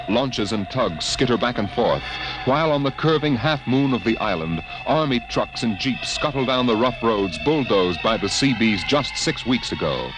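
A man performing as a grave, suspenseful storyteller, narrating an old-time radio science fiction drama deliberately. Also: lowish voice, natural voice, rough recording. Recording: old radio recording with music. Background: music.

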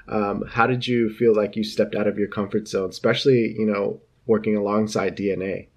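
A man's voice: fairly low pitch, steady tone, fairly quick, darkish tone. A man interviewing a singer, chatty and upbeat, asking long, rambling questions.

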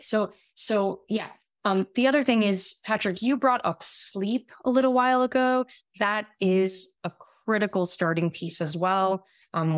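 A woman in her thirties with a slightly raspy voice talks through ideas on a podcast, circling back with fillers and restarts as she explains. Her voice sits on the low side, recorded close and dry. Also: no echo. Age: 30s. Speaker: guest physician. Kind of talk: casual podcast conversation.

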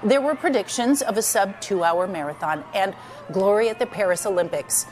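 Female reporter, scripted news voice-over, medium pitch, music bed. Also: expressive, normal speed, darkish tone, poor audio.

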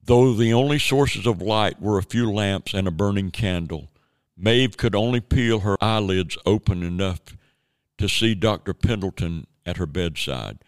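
A man's voice: on the low side, no echo. A man narrating a radio drama in a dramatic storytelling manner.

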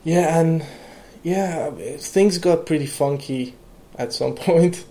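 Gravelly-voiced Iranian-born man, halting. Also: on the high side, mellow. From Iran. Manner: halting, with many fillers and restarts. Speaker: guest, electronic music producer. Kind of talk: casual interview conversation.

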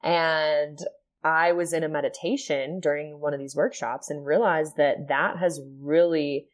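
A woman from Ohio with a low, soft-spoken voice talks as a podcast guest. She tells her story earnestly and reflectively. She often fills her speech with "like" and "you know". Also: fairly even intonation, measured pace. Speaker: podcast guest, yoga and sound healing teacher.

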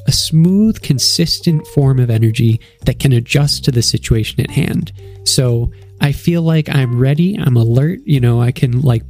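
A young man with a head cold talks in a nasal, stuffy voice at a mid pitch. He chats casually on his podcast, upbeat and rambling. Faint music sits behind him. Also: a little mellow. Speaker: podcast host. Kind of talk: casual solo podcast talk.

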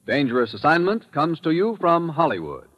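American man for classic radio sign-off, scripted, animated, slightly high voice, light music underneath. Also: moderate pace, muffled recording. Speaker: radio announcer. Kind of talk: 1950s radio announcing, scripted credits.

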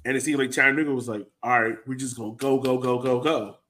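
A man with a mid-pitched voice hosts a soccer fan show. He talks casually and conversationally, leaning on filler words as he breaks down play like a supporter. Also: steady tone, fast, a bit bright. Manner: conversational, heavy use of "like" and "you know". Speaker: soccer fan podcast host. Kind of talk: casual sports talk, breaking down a match.

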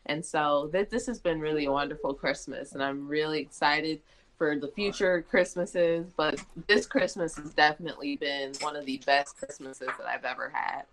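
A woman's voice: low voice, normal speed, low-quality recording. A woman in her twenties from Michigan, a guest chatting casually on a talk show with lots of restarts and fillers. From Michigan, USA. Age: 20s. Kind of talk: casual conversation.

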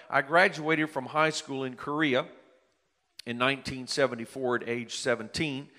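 Bright-voiced man preaching in a hall. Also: medium pitch. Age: 50s. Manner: earnest, rising to emphatic peaks. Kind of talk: sermon with personal testimony. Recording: long hall reverb.

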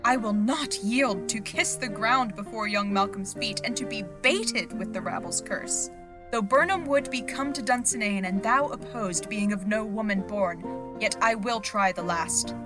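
A woman's voice: mid-range voice, measured pace, over music, lightly acted. A woman playing an earnest understudy actress in an audio drama, sincere and theatrical in delivery. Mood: defiant. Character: earnest understudy actress rehearsing Shakespearean tragedy.

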